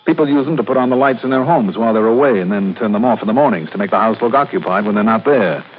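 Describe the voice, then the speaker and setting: An American actor playing a shrewd, hard-boiled investigator in a radio drama, with clipped, dramatic, wry delivery. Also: male voice, on the low side, fast talker, a little hiss or hum, natural voice. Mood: firm, accusing. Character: shrewd, hard-boiled male insurance investigator narrating his case. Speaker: lead actor and star of the radio series. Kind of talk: 1960s radio detective drama acting. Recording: tinny old radio broadcast, music behind.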